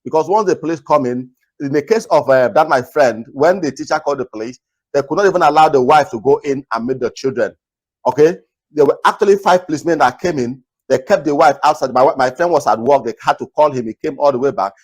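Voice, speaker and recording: A man from Nigeria hosting a talk video, earnestly advising viewers in a naturally loud voice and repeating his points. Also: on the high side, fast talker, slightly rough, poor audio. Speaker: host. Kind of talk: casual commentary to camera.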